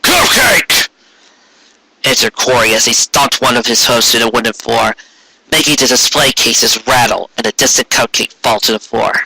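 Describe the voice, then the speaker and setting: A man's voice: mid-range voice, steady tone, normal speed, creaky, bright, forceful tone, husky, dry recording, poor audio. A man narrating a fan fiction story in a dramatic amateur reading style.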